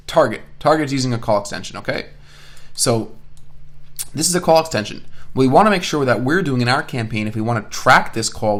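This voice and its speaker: A man with a mid-range voice talks through a software tutorial at a close mic. He sounds like an online instructor thinking aloud as he explains each step. Key phrases come back in repeated patterns for emphasis. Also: mid-range voice. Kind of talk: informal screencast software tutorial.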